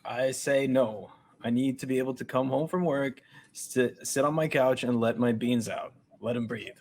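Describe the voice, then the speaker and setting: Man reading blunt young roommate, middle pitch, faint hum behind. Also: normal speed, darkish tone, close to an everyday voice. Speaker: podcast host reading a part. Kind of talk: amateur table read.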